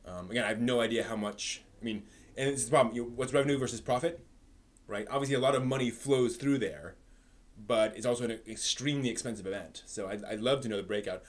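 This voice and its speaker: Halting, casual man hosting a stream. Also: medium pitch. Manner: halting, rambling, repeats words. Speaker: live stream host. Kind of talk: casual live stream commentary.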